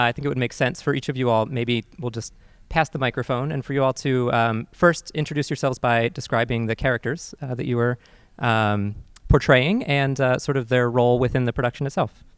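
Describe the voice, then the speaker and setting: A man's voice: medium pitch, fairly bright tone, clean tone, no echo, clean studio sound. A man with an American accent hosting an interview, phrasing his questions in a hesitant, roundabout way. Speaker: host interviewer. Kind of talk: local TV interview.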